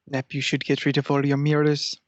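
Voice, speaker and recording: A man voicing an imperious, scheming cat in a comedy podcast, speaking in a pompous, halting, grandiose way. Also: a little high, completely flat delivery, dry recording, muffled recording, natural voice. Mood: commanding. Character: imperious, scheming male cat plotting feline takeover. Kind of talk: improvised comedy podcast character.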